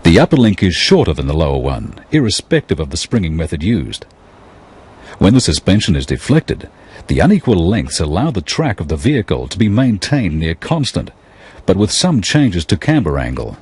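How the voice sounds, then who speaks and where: A man giving scripted technical narration in a measured, explanatory way. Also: deep voice, a little mellow, slight background noise.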